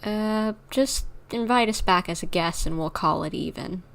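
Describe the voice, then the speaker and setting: A woman's voice: medium pitch, moderate pace, fairly bright tone. A woman livestreaming a video game, chatting casually and thinking aloud in a rambling, informal way.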